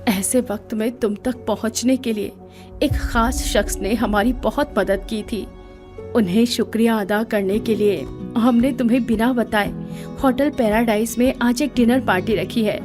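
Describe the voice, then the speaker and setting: An actress playing a gracious, wealthy middle-aged aunt in a Hindi audio drama, speaking warmly and politely with English phrases mixed in. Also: female voice, fairly high pitch, fairly even intonation, unhurried, lightly acted. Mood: affectionate. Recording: music bed behind the voice.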